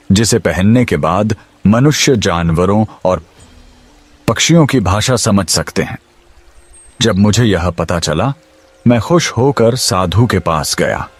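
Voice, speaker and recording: A man voicing a proud, commanding king in an animated moral story. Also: fairly low pitch. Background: music.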